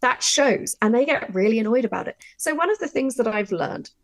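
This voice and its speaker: A woman's voice: on the high side, expressive, fairly quick. A woman talking casually and emphatically as a writer explaining her craft in a workshop discussion. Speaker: audio drama writer.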